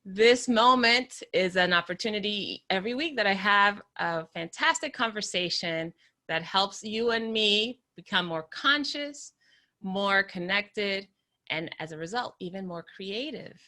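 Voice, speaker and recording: A woman hosts an interview podcast in a bright, ringing voice. She speaks slowly and deliberately, then chats easily with her guest over a video call. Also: medium pitch. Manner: slow, deliberate opening, then conversational with laughs. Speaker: podcast host.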